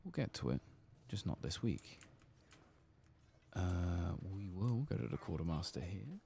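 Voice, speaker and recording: A man giving soft-spoken, partly whispered ASMR commentary as he plays a game, murmuring his thoughts aloud. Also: deep voice, big pitch swings, unhurried, vocal fry, a little hiss or hum. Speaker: gaming channel host.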